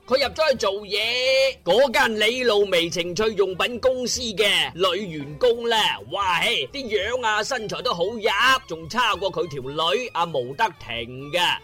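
Bright, high-voiced Cantonese male storyteller over music. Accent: Cantonese, Guangdong. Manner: animated, wry, drawn-out phrases with interjections. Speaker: storyteller narrator of an audio drama. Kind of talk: dramatic comic scripted storytelling.

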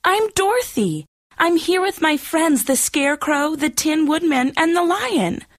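An earnest, outspoken storybook girl, voiced by a man. The voice is very high and lively, recorded dry and close. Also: measured pace, dry recording, full character voice. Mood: insistent.

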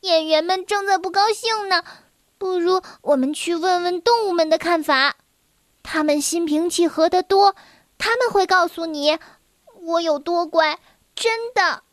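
A woman's voice: very high-pitched, a little slow, dry recording, clean studio sound. A woman voicing a cheeky, clever little girl who coaxes and insists, in a storybook reading. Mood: coaxing. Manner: coaxing, insistent.

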